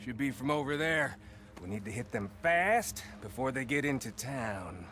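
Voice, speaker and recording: An actor playing a cocky, reckless American outlaw in a cinematic Western video game, with a frontier drawl and a swaggering, needling, folksy manner. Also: male voice, medium pitch, big pitch swings, normal speed, fairly bright tone, slight background noise. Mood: low, anticipating. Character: cocky, reckless, trigger-happy American outlaw gang member.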